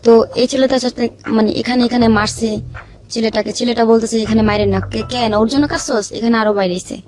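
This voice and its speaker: A woman's voice: a little high, steady tone, a little fast, darkish tone. A woman with a Bangladeshi accent tells her life story informally as a guest, acting out the dialogue as she goes. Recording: music under the voice.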